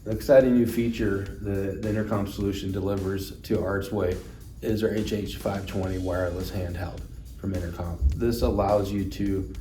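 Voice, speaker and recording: A man's voice: low-pitched, fairly even intonation, moderate pace, mellow. A man giving an instructional product demonstration, explaining things plainly and step by step. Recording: roomy echo, distant mic, music underneath.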